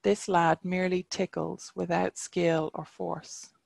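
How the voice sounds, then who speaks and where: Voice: mid-range voice, little pitch movement, slow pace, creaky, dry recording. A reader voicing a proud, scornful male warrior champion, reciting archaic taunting verse.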